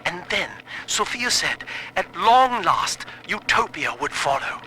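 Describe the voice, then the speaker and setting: A man's voice: high voice, very animated, slow pace, noisy background, full character voice. A man playing a guilt-ridden scientist, speaking formally and articulately in a dramatic recorded diary monologue. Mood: troubled, reflective.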